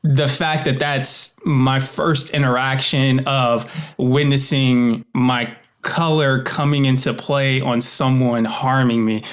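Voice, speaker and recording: A man's voice: medium pitch, fairly even intonation, takes it slowly. A man speaking in an informal, reflective way as a podcast guest and producer, in a conversational style. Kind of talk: conversational interview and listener address.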